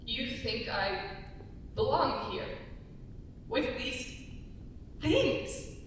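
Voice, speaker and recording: A woman's voice: lively intonation, very slow. A young woman playing an earnest, wounded outcast in a staged reading, emotional and pleading. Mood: wounded, indignant. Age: young adult. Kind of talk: staged dramatic script reading. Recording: very echoey hall, distant mic, hiss and rumble.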